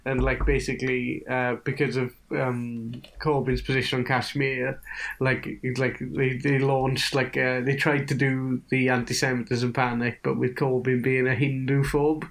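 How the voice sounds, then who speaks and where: A young Welsh man chatting casually on a podcast, rambling and swearing, hoarse from a cold. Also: medium pitch, flat delivery, moderate pace, a bit bright, poor audio. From Wales. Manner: swears a lot, laughing, rambling. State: has a cold, coughing. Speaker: guest. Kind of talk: casual chat.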